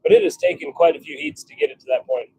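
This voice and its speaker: A man chatting casually on a live stream, thinking out loud as he works in his workshop. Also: high voice, huge pitch swings, fast talker, gravelly, slight background noise, low-quality recording. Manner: chatty, thinking out loud while working at the forge. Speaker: livestream host, hobby blacksmith. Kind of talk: casual live-stream chat while working. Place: home forge workshop.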